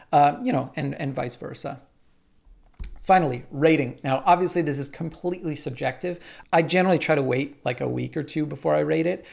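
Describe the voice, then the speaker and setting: A man casually explaining his songwriting workflow in a relaxed tutorial, with frequent "uh" and "like". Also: a little high, vocal fry. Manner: relaxed, lots of "uh" and "like".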